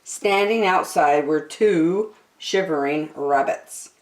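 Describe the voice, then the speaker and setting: A woman reading a children's story aloud expressively, with deliberate, dramatic phrasing. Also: low voice, echoey room, rough recording.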